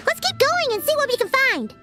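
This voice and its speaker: A woman voicing a tiny, blunt, greedy female fairy companion who calls herself by name, in a game cutscene. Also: full character voice. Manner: squeaky, excitable, speaks of herself in third person.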